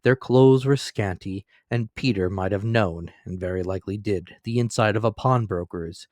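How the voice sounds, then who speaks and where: A man giving a dramatic, measured reading of a classic novel. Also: fairly low pitch, a little mellow, dry recording. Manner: measured, theatrical storytelling. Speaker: reader.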